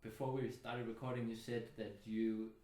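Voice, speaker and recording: A man's voice: mid-range voice, level tone, normal speed, a little mellow, reverberant. A man hosting a casual, thoughtful book club conversation in a home studio, talking reflectively with many fillers. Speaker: host.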